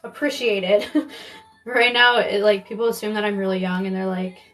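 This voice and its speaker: A woman in her twenties from Maine chatting casually on a live stream while she paints, full of fillers and quick asides. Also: mid-range voice, steady tone, fairly bright tone. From Maine, United States. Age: 20s. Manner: chatty, rambling, with frequent fillers and giggles. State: pregnant. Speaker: makeup artist streaming live.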